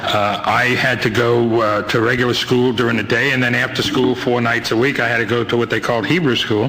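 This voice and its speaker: An older man with a New York accent giving a rambling, halting, wryly humorous personal testimony to a meeting, on a noisy old amateur tape. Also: mid-range voice, little pitch movement, sharp tone. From Brooklyn, New York, United States. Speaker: guest speaker at a recovery meeting. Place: meeting hall, speaking to a group.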